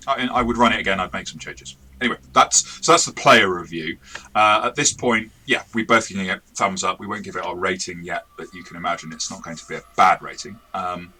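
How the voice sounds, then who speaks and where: A man's voice: sits low, a little fast, sharp tone, slightly rough, low-quality recording. A man, the game master and co-host, chatting casually and rambling in a self-correcting way as he reviews a game.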